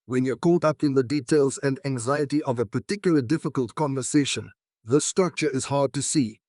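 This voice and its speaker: A man's voice: medium pitch, fairly quick, darkish tone, dry recording, clean studio sound. A man reading scripted passages aloud as exam practice.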